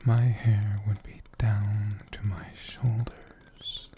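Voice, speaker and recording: A man doing soft-spoken, whispered ASMR in a gentle, deliberate manner, close to a condenser mic. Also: lowish voice, flat delivery, dull audio, slight background noise. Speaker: ASMR creator answering viewer questions. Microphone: USB condenser mic, close up.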